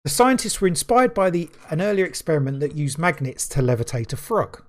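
A man's voice: fairly high pitch, very animated, a little fast. A man co-hosting a podcast, reading a news story aloud and stumbling over words, then chatting casually. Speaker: podcast co-host.

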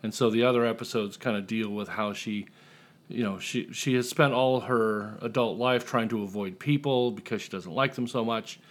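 A man's voice: lowish voice, normal speed. A man talking casually and jokingly as a writer being interviewed on a podcast.

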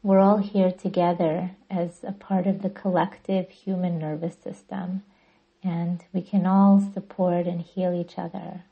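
Female meditation guide, breathy with a creaky edge, even and soothing, slightly muffled recording. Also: on the low side. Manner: soothing, gentle, deliberate. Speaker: yoga nidra guide and podcast host.

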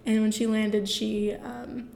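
A woman's voice: medium pitch, level tone, slow pace, vocal fry, a little mellow. A young woman co-hosting a podcast, retelling a news story in a chatty way full of fillers. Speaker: podcast co-host. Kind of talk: casual news chat.

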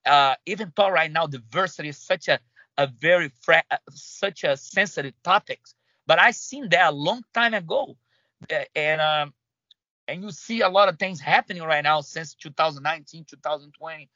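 Brazilian man, accented English, stop-start interview guest, higher voice, dry recording. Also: bright, forceful tone, no room sound, lo-fi sound. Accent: Brazilian Portuguese. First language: Portuguese. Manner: halting, many restarts and fillers. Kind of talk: conversational interview.

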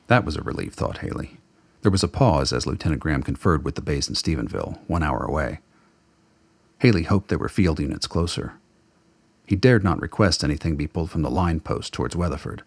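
A male narrator with an extremely deep voice reads dramatic scripted narration. The tone is husky with a creaky grain, recorded very dry. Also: expressive, brisk, no room sound.

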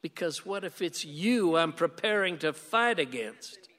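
An actor in a radio play voicing a stubborn, sardonic conservative gun owner, combative and folksy with a dry delivery. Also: male voice, a little high, lively intonation, a little slow, sharp tone. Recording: long hall-like reverb.

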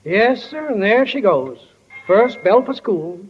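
An actor playing a wry, plainspoken small-town narrator in a 1940s radio drama. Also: male voice, high voice, big pitch swings, takes it slowly, breathy edge, heavily acted. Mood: folksy, wry. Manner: plainspoken, drawling folksy wording. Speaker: stage manager narrator. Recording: muffled old 1940 radio broadcast.

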